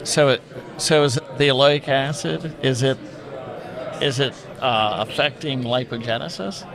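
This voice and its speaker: A man's voice: medium pitch, a little slow, gravelly, a crowd in the background. A man co-hosting a podcast, asking follow-up questions and often restarting them. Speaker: podcast co-host. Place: conference hall booth with crowd behind. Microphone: table mic on stand, wearing headphones.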